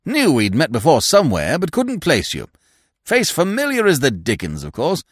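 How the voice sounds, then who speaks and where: A man's voice: a little high, huge pitch swings, normal speed, husky, no room sound, clean studio sound, pitched above the performer's own voice, full character voice. A man voicing a jovial, hearty sponger in a storybook, with effusive, exclamatory old-chap friendliness. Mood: exuberant.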